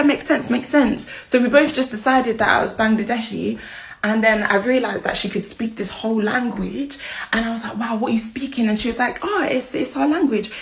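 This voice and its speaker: A woman's voice: on the high side, gravelly, a bit bright. A young woman with a British accent telling a casual story, chatty and slangy, acting out bits of dialogue. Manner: chatty, slangy, self-mocking. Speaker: video creator.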